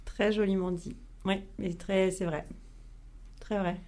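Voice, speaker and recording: A woman hosting a casual radio discussion among friends, chatty, laughing often, trailing off softly at the ends of thoughts. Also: mid-range voice, steady tone, a little slow. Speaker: host.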